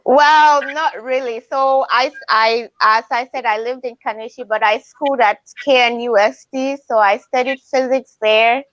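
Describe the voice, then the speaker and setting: A woman in her thirties with a Ghanaian accent, a guest speaking calmly and softly over a video call. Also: fairly high pitch, unhurried, hardly any pauses, dry recording. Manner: calm, soft-spoken, light laughter. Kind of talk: casual video interview. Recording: dry, dull video call audio.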